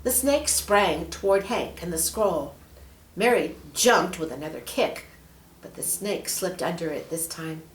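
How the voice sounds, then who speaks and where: A woman reading a children's story aloud expressively, measured and leaning on key words. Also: on the low side, lively intonation, slight background noise. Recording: echoey room.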